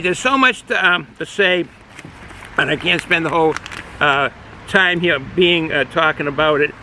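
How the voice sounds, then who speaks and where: A middle-aged man with a Boston accent, casually reviewing a beer outdoors, rambling and halting with many fillers. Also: on the high side, bright, forceful tone, a little hiss or hum, low-quality recording. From Boston area, United States. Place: outdoors, sun in his eyes.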